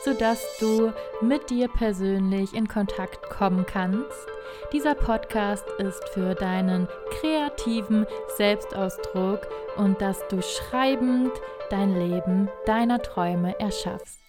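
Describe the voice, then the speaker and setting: A woman hosting a podcast that guides writing meditations, talking gently and unhurriedly, her voice a little hoarse from a cold. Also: medium pitch, slow pace, music in the background. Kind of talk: calm guided podcast talk.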